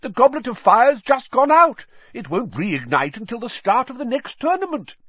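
A man's voice: very high voice, sing-song, normal speed, slightly rough, no echo, voice pushed up, full character voice. A man reading an audiobook, voicing a cheery, boyish middle-aged official. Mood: jovial. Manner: jovial, eager. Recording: dry, slightly dull audiobook recording.

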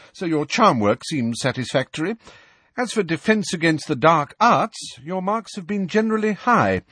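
A man voicing a stern, crisp older female professor who speaks curtly and haughtily. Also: fairly high pitch, fairly bright tone, no room sound, clean studio sound, pitched above the performer's own voice, exaggerated voice. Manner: clipped, curt, haughty.

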